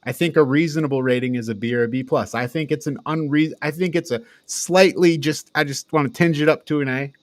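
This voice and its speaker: A man's voice: a little high, fairly quick, hardly any pauses, no echo. A man co-hosting a casual film review chat, informal and given to swearing and laughing. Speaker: podcast co-host.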